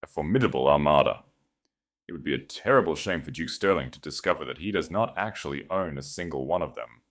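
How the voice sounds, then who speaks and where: A man's voice: a bass voice, animated, full character voice. Cold, imperious queen, played by a man. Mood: icy command. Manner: measured, composed, quietly commanding. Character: cold, shrewd, imperious queen, calm and calculating. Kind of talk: audiobook character acting.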